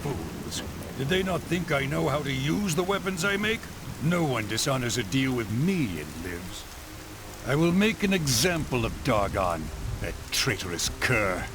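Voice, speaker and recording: An actor playing a proud, vengeful weapon maker, haughty and menacing, in a video game cinematic. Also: male voice, mid-range voice, big pitch swings, unhurried, noisy background. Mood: contemptuous, vengeful.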